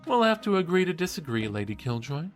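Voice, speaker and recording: Animated, eager young student podcaster. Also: male voice, on the high side, quick pace, faint music behind. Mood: defensive, playful. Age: young adult. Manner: animated, earnest, teasing. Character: eager, credulous young male student podcaster chasing paranormal theories. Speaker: podcast co-host character. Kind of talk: conversational audio drama acting.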